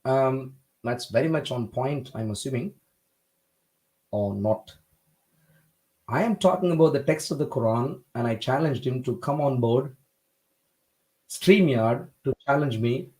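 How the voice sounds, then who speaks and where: A man from India hosting a debate livestream, talking in a measured, polite way with frequent hesitations. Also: medium pitch, a little mellow, rough recording. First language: not Hindi. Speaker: livestream host.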